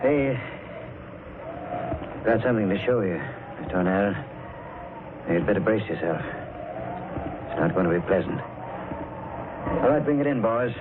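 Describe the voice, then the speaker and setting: An actor playing a courteous but probing police officer in a 1940s radio drama. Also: male voice, on the low side, lively intonation, takes it slowly, pauses often. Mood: solemn, official. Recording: noisy, tinny 1940s radio recording.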